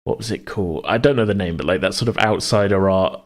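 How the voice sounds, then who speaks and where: Low-voiced man rambling casually, thinking aloud. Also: quick pace. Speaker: podcast co-host. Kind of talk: casual worldbuilding chat.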